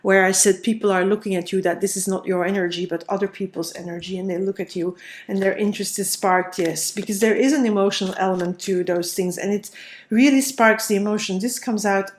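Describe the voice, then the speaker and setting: A woman's voice: medium pitch, steady tone, brisk. Woman reading tarot, bit nasal and stuffed up from a cold, lots of ums and restarts. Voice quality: slightly nasal. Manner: thinking aloud, frequent fillers and restarts. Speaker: tarot reader. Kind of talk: solo tarot card reading.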